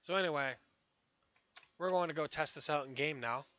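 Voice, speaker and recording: Brief: hoarse man, bright tone, offhand tutorial commentary full of swearing. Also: on the high side, normal speed, no echo, highs cut off. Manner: rambling, profane, offhand. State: losing his voice, hoarse. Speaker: modding tutorial streamer. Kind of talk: casual screen-recorded tutorial commentary.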